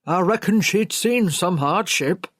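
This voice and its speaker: A woman's voice: fairly low pitch, animated, unhurried, no room sound, studio quality. A woman voicing a plain-spoken, frank male country servant in an audiobook. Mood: matter-of-fact. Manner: folksy, blunt.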